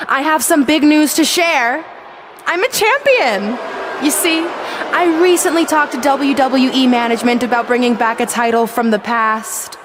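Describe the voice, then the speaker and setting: High-voiced, gloating villain wrestler woman. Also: fairly bright tone, a little hiss or hum. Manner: smug, mocking, theatrical. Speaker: wrestler character giving a villain promo. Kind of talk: wrestling promo monologue.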